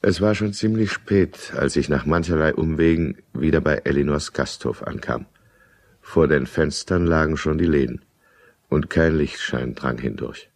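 A man's voice: very deep voice, normal speed, creaky, full character voice. A male actor playing a desperate, drunken middle-aged man, maudlin and menacing, in a German radio drama. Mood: wry, reflective narration. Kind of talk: German radio drama acting with first-person narration.

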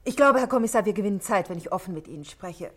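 A woman's voice: medium pitch, lively intonation, fast talker, breathy edge. An actress in a 1960s German radio play, playing a composed, middle-aged married woman who speaks politely and articulately, confiding her worries.

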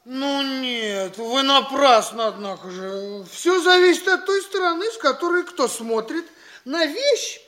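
High-voiced boastful young fop. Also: male voice, lively intonation, sharp tone, exaggerated voice. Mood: airy, evasive. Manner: affected, gushing, drawn-out gallantry. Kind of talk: theatrical classic stage comedy acting.

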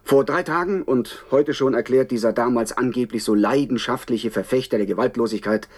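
An actor playing an indignant, scornful television reporter giving dramatic running commentary in a German radio play. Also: male voice, medium pitch, fairly quick, close to an everyday voice. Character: indignant, scornful male TV reporter at an internment camp.

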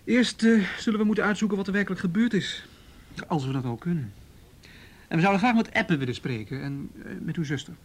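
Patient police detective, higher-pitched male voice. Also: lively intonation. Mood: businesslike, patient. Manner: measured, hesitant, procedural questioning. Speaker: actor in a radio play. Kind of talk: Dutch radio drama dialogue.

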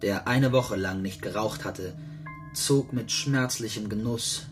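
Low male narrator over guitar music. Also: takes it slowly. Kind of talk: scripted literary narration in a German radio drama.

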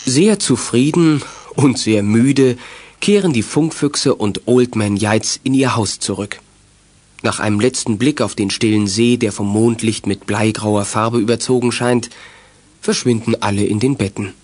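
A man's voice: medium pitch, a little slow, darkish tone, close to an everyday voice. A man narrating a children's adventure audio play in a scripted storytelling style.

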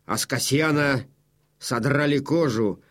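An actor playing a blunt old Cossack comrade in a theatrical audio drama. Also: male voice, mid-range voice, unhurried, a bit bright. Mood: grim.

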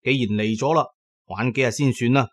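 Narrate as a Cantonese man telling his own story on a radio drama, in a medium-pitched voice, shaping it as dramatised storytelling. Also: fairly even intonation, fairly quick, a bit bright.

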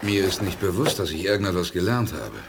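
A man's voice: deep voice, fairly quick, noisy background. An actor playing a sober, sceptical spaceman hero in a 1980s German sci-fi radio play, thoughtful and questioning. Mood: sober, resolved.

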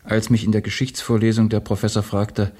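An actor playing an idealistic young revolutionary, a candid and earnest former student, in a German radio drama. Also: male voice, on the low side, little pitch movement, a little fast, warm tone, slightly rough. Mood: fervently earnest.

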